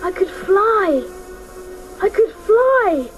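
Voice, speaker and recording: A woman's voice: very high voice, sing-song, very slow, faint music behind, full character voice, rough recording. A woman acting as a wide-eyed young boy in a radio play, earnest and dramatic in delivery. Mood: exhilarated.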